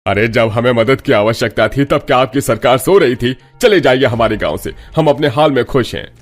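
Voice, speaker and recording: A man's voice: medium pitch, expressive, moderate pace, faint music behind, close to an everyday voice. A man voicing a poor, weary but proud village headman in a cartoon tale, earnest and plaintive. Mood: resentful.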